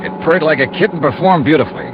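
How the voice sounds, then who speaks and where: A muffled, noisy old radio recording of a hard-boiled American male investigator. His delivery is dry and wisecracking, with animated swings in pitch as he questions and narrates. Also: fast talker. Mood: brisk narration. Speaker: lead actor and first-person narrator. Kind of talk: classic radio detective drama acting.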